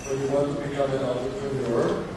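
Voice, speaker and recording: A man's voice: medium pitch, flat delivery, fairly quick. A man moderating a conference discussion without a script, steering it from one speaker to another. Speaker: panel moderator. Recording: roomy, distant mic, noisy hall.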